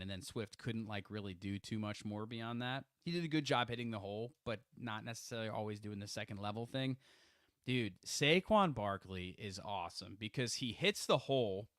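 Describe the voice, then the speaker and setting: Animated young man on a sports podcast. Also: lowish voice, smooth, no echo, clean studio sound. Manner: animated, rambling with restarts. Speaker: podcast co-host. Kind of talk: casual sports chat.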